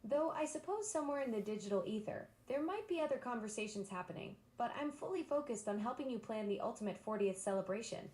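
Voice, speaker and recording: A woman's voice: on the high side, expressive, fast, few pauses, a little mellow, reverberant. A synthetic female voice assistant talking in a bubbly, enthusiastic, eager-to-please way. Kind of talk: upbeat synthetic assistant chat.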